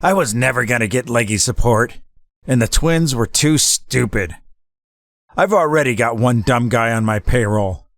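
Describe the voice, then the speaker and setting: A man acts out a scheming mob boss from a hardboiled radio play. His voice has a creaky, gravelly edge at a middling pitch. He talks in unruffled street slang, recorded close and dry. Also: moderate pace, fairly bright tone, dry recording, lightly acted. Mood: calculating. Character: cool, scheming gangster taking over as boss. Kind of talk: hardboiled 1940s gangster audio drama acting.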